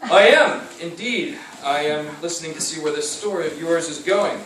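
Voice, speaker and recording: An actor playing a world-weary, wisecracking 1930s private detective in a noir radio play, musing and wry. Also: male voice, fairly high pitch, animated, moderate pace, background noise, lightly acted. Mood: guarded, wry. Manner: wry, hard-boiled, musing. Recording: roomy, distant, music underneath.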